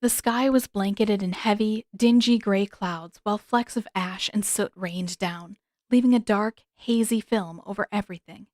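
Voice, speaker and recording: A woman narrates fiction in a scripted audiobook style. Her voice sits at an average pitch for a woman. The sound is dry, with very little room to it. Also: normal speed, a little mellow, dry recording.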